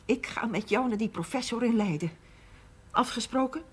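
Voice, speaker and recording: A woman's voice: on the high side, moderate pace, slightly rough. An actress playing a devoted, resolute older mother in a naturalistic television drama, speaking firmly. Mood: loving, resolute. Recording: noisy old television broadcast audio.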